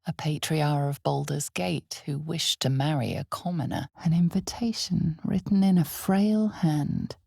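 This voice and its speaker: A woman voicing the grave, knowing narrator of a dark fantasy game, speaking deliberately in a husky, breathy tone with an ominous air. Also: sits low, no room sound, studio quality, full character voice. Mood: hushed, mysterious. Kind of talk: dramatic second-person fantasy game narration.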